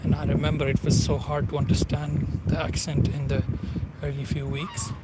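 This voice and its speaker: A man from Punjab in Pakistan with a Pakistani accent, narrating a walking tour by a river in a halting way with many fillers. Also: fairly high pitch, monotone. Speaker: vlogger. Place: outdoors by a river. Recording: loud outdoor noise. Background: loud outdoor ambience with traffic.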